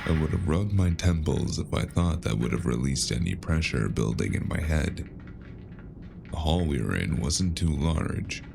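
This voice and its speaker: An actor playing a grim, weary cyberpunk man who narrates his story in clipped, brooding noir phrases with a gravelly voice. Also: rumbling low, background noise, heavily acted. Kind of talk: scripted first-person noir narration. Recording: loud music under the voice. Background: music.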